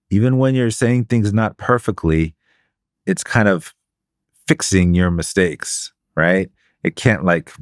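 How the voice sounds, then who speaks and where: A man with a fairly low voice co-hosts a podcast and talks things through in a measured, explanatory way. The sound is dry and close to the mic. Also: no echo. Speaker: podcast co-host. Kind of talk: casual podcast chat.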